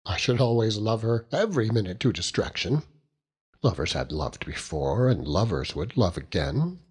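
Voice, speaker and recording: Male audiobook reader, low and unhurried, wry and theatrical, with wide swings of pitch. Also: husky. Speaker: audiobook narrator. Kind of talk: expressive literary narration in the first person.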